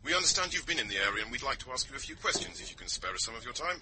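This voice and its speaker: Very rapid British detective on phone. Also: male voice, mid-range voice, expressive. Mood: courteous, official. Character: brisk, courteous police detective sergeant on telephone. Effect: telephone voice. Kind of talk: radio drama acting. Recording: noisy distant telephone line.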